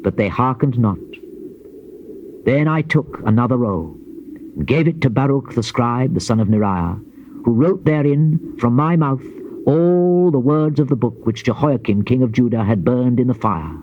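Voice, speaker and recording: An actor playing a grave Old Testament prophet in a dramatized scripture reading, with solemn, declamatory and measured phrasing. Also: male voice, medium pitch, animated, close to an everyday voice. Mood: solemn narration. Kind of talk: dramatized scripture reading, classical stage delivery. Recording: dull lo-fi old cassette, faint hiss.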